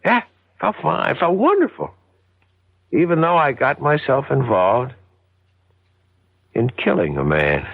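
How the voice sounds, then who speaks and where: A meek man turned unhinged killer in a vintage radio thriller. His voice swings wildly up and down with a gravelly, rough edge. The delivery is halting and intense, on a dry, dull old broadcast recording. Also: mid-range voice, sing-song, no room sound, full character voice. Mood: strange elation. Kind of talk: 1970s radio drama acting.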